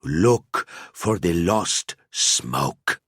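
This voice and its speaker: A man's voice: sits low, expressive, a bit bright, slightly rough, dry recording, clean studio sound, full character voice. Man doing a cryptic old alchemist seer. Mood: solemn. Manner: slow cryptic prophecy with tag "eh?".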